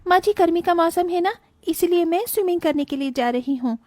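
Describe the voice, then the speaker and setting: A woman voicing a haughty, selfish young daughter-in-law in an animated moral tale, dismissive in manner. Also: very high-pitched, a little fast, no room sound. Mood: haughty, annoyed.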